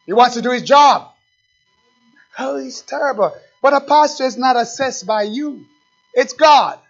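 A man preaching a sermon as pastor, swinging from quiet asides to loud, slow, emphatic scripture reading and questions put straight to his congregation. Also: very high voice. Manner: reads scripture slowly and with emphasis. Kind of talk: fiery sermon. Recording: dull, lo-fi recording.

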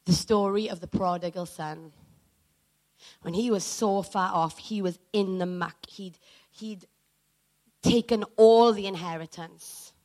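A woman preacher from Wales telling a personal story in a sermon, animated and dramatic, acting out what people said. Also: mid-range voice, measured pace, no room sound, poor audio.

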